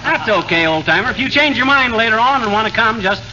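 Radio comedy husband: high, swooping voice, folksy muttering and exclaiming, blustery bumbler. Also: male voice, lively intonation, fast talker, bright, forceful tone, heavily acted. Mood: jovial. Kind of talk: 1940s radio comedy acting. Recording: noisy, dull 1940s radio recording.

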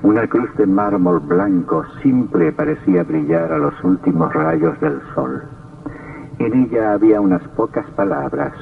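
An actor playing a sinister, darkly gloating count who narrates an old radio horror drama in a theatrical, macabre manner. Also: male voice, medium pitch, expressive, measured pace, vocal fry, slight background noise. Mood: macabre, brooding. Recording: tinny, distant old radio recording.

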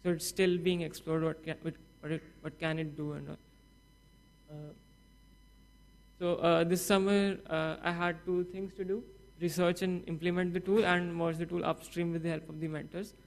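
A young man gives a technical talk from a conference stage, with a slightly high voice. He speaks haltingly, stopping often with fillers and restarting his sentences. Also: level tone, vocal fry. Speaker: student project presenter.

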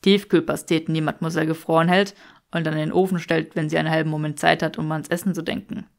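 A smug young aristocrat from a fantasy audiobook, acted by a woman reader. He speaks low with a creaky edge, needling and mock-serious, drawing out his teasing. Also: level tone, quick pace.

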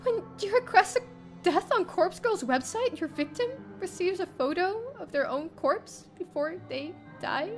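A young woman streamer reading a visual novel aloud in the first person, expressive and theatrical. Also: very high-pitched. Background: game music.

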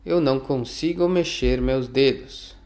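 A man teaching phrases, reading each one slowly and repeating it for learners. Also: medium pitch, a little mellow. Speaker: language teacher. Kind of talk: slow phrase drill for learners.

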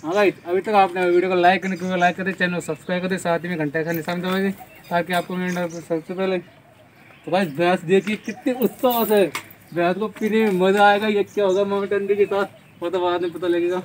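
A young man giving excited, repetitive casual vlog commentary to his viewers outdoors. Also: high-pitched, fairly quick. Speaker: vlogger. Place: open dirt farmyard, outdoors. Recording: noisy outdoor yard, birds and animals.

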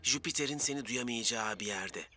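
A man's voice: medium pitch, brisk, sharp tone, lightly acted. A man playing an anxious, clumsy young firefighter in a children's cartoon, dramatic and fretful as he dotes on his engine. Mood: fussing, doting. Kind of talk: Turkish-dubbed children's cartoon acting.

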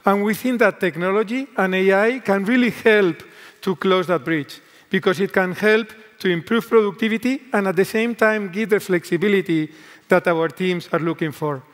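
A man in his fifties with a Spanish accent, speaking earnestly as a tech executive in an onstage keynote conversation. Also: sits high. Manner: earnest, deliberate corporate speech. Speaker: tech executive guest on stage. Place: large conference stage. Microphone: headset mic. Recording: long hall reverb.

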